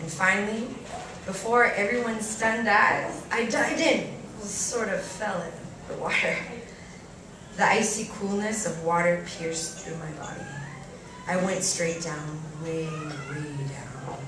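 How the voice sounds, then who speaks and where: A woman reading a first-person story aloud at a live event, expressively and theatrically, with deliberate pauses and varied emphasis. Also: mid-range voice. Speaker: reader performing a short story at a live event. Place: live reading in a cafe venue. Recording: echoey room, distant mic, faint noise.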